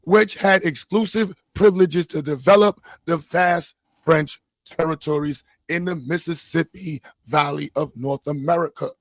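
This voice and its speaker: A man with a fairly high, slightly hoarse voice is hosting a debate. He reads from sources and insists on his point, often repeating words. The sound is very dry, with no room around it. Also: measured pace, few pauses, dry recording. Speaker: debate host. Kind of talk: live debate, reading sources aloud.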